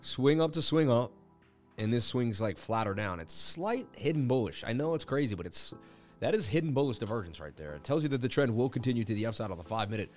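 A man's voice: mid-range voice, animated, fast talker, darkish tone, soft background music. A man hosting a crypto livestream, losing his voice, talking in hyped, boastful banter with his viewers. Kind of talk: casual livestream market commentary.

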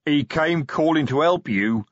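A man voicing a big, blunt male troll sergeant who talks in broken grammar, defensive and forceful, in a dry, slightly dull recording. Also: medium pitch, animated, normal speed, breathy edge, no echo, pitched above the performer's own voice. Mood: angry.

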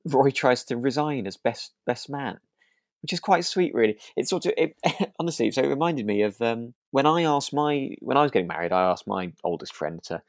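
A man's voice: medium pitch, expressive, normal speed, dry recording, studio quality. A British man co-hosting a podcast in casual chat, agreeable and a little rambling as he feels his way through sentences. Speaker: podcast co-host.

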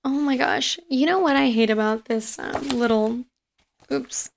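A woman in her twenties with a raspy voice, hosting a casual podcast and rambling quickly through personal stories. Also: high-pitched. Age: 20s. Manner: fast-talking and rambling. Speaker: podcast host. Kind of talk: casual chat. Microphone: home recording setup.

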